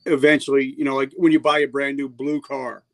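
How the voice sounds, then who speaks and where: A man with a noticeably gravelly, creaky edge to a mid-range voice. He hosts an interview show and puts his questions in plain, informal, conversational language. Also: medium pitch, level tone, brisk. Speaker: podcast host.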